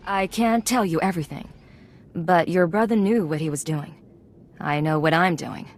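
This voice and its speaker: An actress playing a cool, confident covert operative in a video game, speaking in an assured, businesslike way. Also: female voice, lowish voice, normal speed, lightly acted. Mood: firm, persuasive. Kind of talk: video game cutscene acting.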